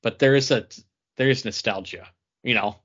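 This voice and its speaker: A man's voice: medium pitch, moderate pace, fairly bright tone, breathy edge. A man talking casually as one of the hosts of a chatty podcast. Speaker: podcast host. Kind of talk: casual chat. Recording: slightly dull, lo-fi recording.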